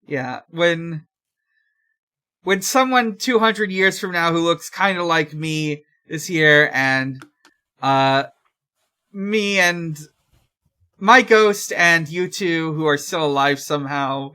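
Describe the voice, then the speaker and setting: A young man co-hosting a podcast in casual chat, talking in a halting, rambling way and often correcting himself. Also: a little high, sharp tone, smooth. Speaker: podcast co-host.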